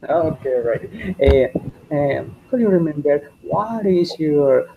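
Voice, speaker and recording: A man. He talks slowly and with a gravelly edge, and he repeats his questions patiently. The audio is dull and lo-fi, with a faint hiss underneath. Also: on the high side, lively intonation. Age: 20s. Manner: slow, halting, repeats each question several times. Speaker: English teacher. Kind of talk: beginner English class session.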